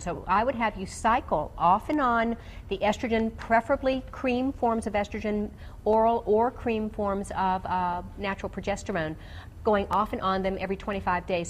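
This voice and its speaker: A woman doctor giving explanatory, technical medical advice to callers on a radio call-in show, with hesitant pauses. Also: mid-range voice. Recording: clearly noisy background.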